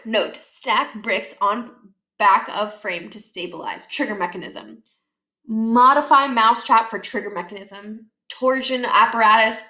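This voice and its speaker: A woman reading a children's book aloud as an expressive storyteller, with animated, deliberate emphasis. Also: on the high side. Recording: echoey, roomy sound.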